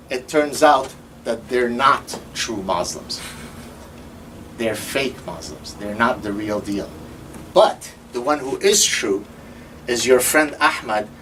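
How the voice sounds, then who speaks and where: A man's voice: mid-range voice, far from the mic, slight background noise, poor audio. Man lecturing with sarcasm. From Lebanon. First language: Arabic. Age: 50s. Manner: animated, sarcastic, mocks opponents in quoted voices. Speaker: host. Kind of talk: lecture-style commentary.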